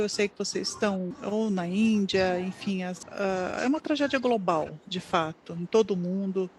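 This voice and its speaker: A simultaneous interpreter renders a lecture live, halting often and restarting words to keep up. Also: mid-range voice, slight background noise. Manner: halting, frequent restarts and repeated words while keeping up with the speaker. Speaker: simultaneous interpreter at an education conference talk.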